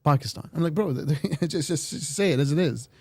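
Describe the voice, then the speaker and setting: A man's voice: on the high side, a little fast, no room sound. A man speaking on a played podcast clip, rambling in casual conversation with frequent restarts. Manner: rambling, restarts often, animated.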